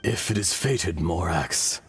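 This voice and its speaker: A man's voice: low voice, animated, over music. An actor playing an ancient, weary male earth dragon in a video game, speaking solemnly and poetically. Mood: grave, resigned. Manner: slow, solemn, poetic delivery. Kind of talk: dramatic video game voice acting.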